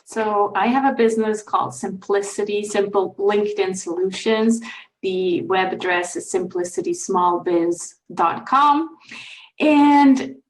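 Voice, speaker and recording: Informal woman from Switzerland, mid pitch. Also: a little slow, poor audio. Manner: informal, explanatory. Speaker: interview guest, business coach and trainer. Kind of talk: conversational interview answers. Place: remote online call.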